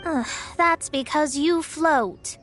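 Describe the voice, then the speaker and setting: High-voiced haughty young astrologist. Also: female voice, slow pace, music in the background. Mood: weary, irritated. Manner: haughty, formal, theatrical diction. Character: proud, theatrical young female astrologist. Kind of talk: anime game voice acting.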